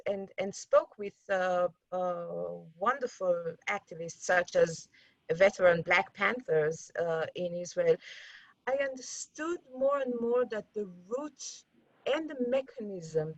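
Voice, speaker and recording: Woman speaking haltingly on a dull, dry line. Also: on the high side, no room sound. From Iran. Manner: halting, many restarts and fillers. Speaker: guest, journalist and activist. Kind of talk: reflective conversational interview.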